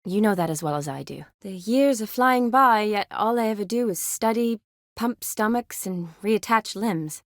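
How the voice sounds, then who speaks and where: A spirited young woman medic from a fantasy video game, played by an actress. She talks in a wry, teasing, practical way at a middle pitch, recorded close and dry. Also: moderate pace, dry recording, lightly acted. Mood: wistful. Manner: conversational, wry, expressive.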